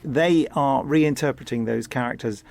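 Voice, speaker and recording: A man gives a casual, explanatory interview. His mid-pitched voice has a pronounced gravelly creak, and he drops in conversational asides as he goes. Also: moderate pace, a little mellow. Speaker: audio drama maker.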